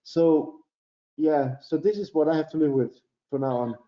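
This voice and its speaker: A Danish man speaking English as his second language, his voice sitting a little high and bouncing around a roomy space. He talks in a halting, restarting way, full of fillers. The video-call sound is dull and lo-fi. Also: fairly even intonation. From Denmark. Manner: halting, many fillers and restarts, laughs often. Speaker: guest. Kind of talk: casual interview storytelling.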